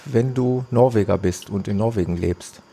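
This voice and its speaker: Husky, gravelly podcast host. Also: male voice, lowish voice, moderate pace, warm tone. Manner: enthusiastic, affirming. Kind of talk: casual interview.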